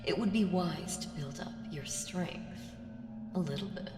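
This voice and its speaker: In a cavernous, long reverb comes an ancient female evil played by a woman, speaking very slowly and on the low side. She is seductive and patient, teasing her listener with menace and dropping into whispers, in the manner of an ASMR roleplay. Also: close to an everyday voice. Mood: ominous warning. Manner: slow, deliberate, teasing, lapsing into breathy whispers. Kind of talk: ASMR audio roleplay acting. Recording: very echoey, long reverb, music behind. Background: music.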